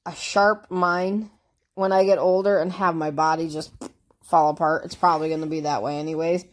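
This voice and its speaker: A woman's voice: sits low, fairly even intonation, normal speed. A woman vlogger chatting casually through tag questions, congested from a cold, rambling and easygoing. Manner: rambling, easygoing, with fillers and asides.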